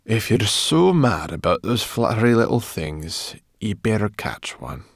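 A man voicing a bossy, sharp, impatient furry female creature in a storybook, needling and exclaiming. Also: fairly low pitch, sing-song, measured pace, dry recording, studio quality, voice pushed up, heavily acted. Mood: drowsy, smug.

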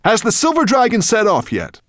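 A man's voice: sits high, huge pitch swings, normal speed, a bit bright, dry recording, studio quality, pitched above the performer's own voice, full character voice. A man reading aloud and voicing a huge, greedy male dragon villain who roars and growls impatiently. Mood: roaring, gloating.

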